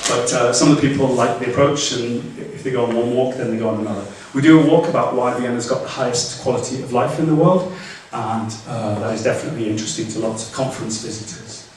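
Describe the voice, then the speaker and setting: A man's voice: mid-range voice, fast talker, a little hiss or hum. A man, a guest speaker, answering audience questions after a talk, hesitant with many restarts and fillers. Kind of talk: Q&A after a lecture. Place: lecture room. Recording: echoey room from far off.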